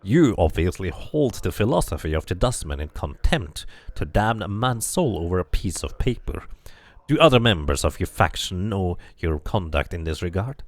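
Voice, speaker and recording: Man playing a deep, firm male adventurer. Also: very animated, moderate pace, dry recording. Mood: stern. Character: male adventurer, calm, probing, firm and confrontational.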